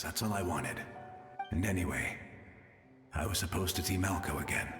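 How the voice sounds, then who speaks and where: A man voicing a gentle, selfless warrior's soul in a video game, earnest and measured, with a ghostly reverb. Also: sits low. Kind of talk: English video game voice acting. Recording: long echoing reverb under music.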